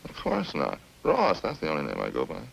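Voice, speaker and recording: A man's voice: a bass voice, wildly swooping pitch, fairly quick, gravelly, heavily acted, low-quality recording. An actor playing an evasive male ex-convict suspect in a 1950s radio crime drama, full of indignant, slangy denials. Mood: defensive. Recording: hissy old-time radio recording.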